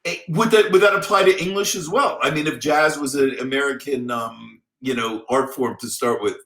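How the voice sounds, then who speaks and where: A man's voice: mid-range voice, moderate pace, a bit bright. Hesitant man in an echoey room. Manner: hesitant, with many ums and uhs. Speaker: interviewer, show host. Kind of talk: casual interview questions. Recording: roomy echo.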